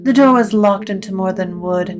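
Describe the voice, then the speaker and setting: A woman narrating scripted supernatural fiction in a measured, literary storytelling manner. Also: medium pitch, music in the background.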